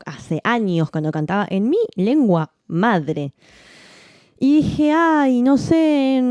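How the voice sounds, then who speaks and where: Dry-miked woman, mellow voice. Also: medium pitch, clean tone, no room sound. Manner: reflective, many restarts and "¿no?" tags. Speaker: podcast co-host, jazz singer. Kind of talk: casual chat.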